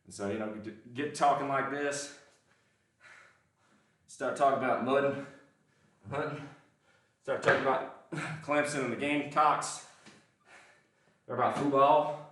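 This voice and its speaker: A man's voice: mid-range voice, fairly even intonation, a little slow, long pauses, a bit bright, distant mic. A man with a mild Southern accent, chatting casually and self-deprecatingly with his livestream viewers between sets in a gym. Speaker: livestreaming lifter. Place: indoor gym. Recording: very echoey room, voice off-mic.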